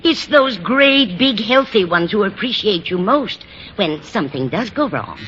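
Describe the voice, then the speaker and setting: A woman's voice: mid-range voice, lively intonation, measured pace, a bit bright. An American actress playing a motherly, meddlesome older landlady in a vintage radio comedy, coaxing and earnest. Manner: coaxing, earnest advice-giving. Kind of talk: 1940s-50s American radio comedy acting. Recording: muffled, hissy vintage radio recording.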